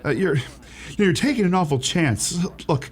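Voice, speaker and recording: A man's voice: sits high, lively intonation, moderate pace. A man playing a mild, wry, hesitant shop clerk with earnest feeling in a radio play. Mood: eager, persuasive. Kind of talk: old-time radio theatre acting.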